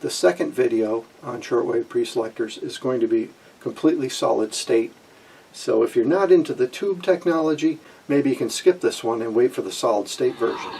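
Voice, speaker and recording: A man in his sixties presenting an informal technical explanation in a halting way, with frequent pauses, in a small room at home. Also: medium pitch, vocal fry, a little mellow, husky, recorded from a distance, rough recording. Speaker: presenter of a vintage radio electronics channel.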